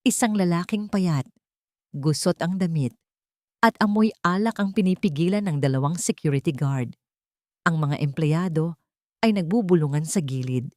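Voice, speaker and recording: Low-voiced Filipino woman narrating, dry close sound. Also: normal speed, warm tone, no echo. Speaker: audiobook narrator. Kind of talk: dramatic scripted story narration.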